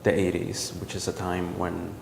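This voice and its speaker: Low gravelly man in an echoey gallery. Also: completely flat delivery, slight background noise. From El Salvador. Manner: reflective, halting, with frequent ums and restarts. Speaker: visual artist. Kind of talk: talking about his artwork. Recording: roomy gallery echo with long reverb.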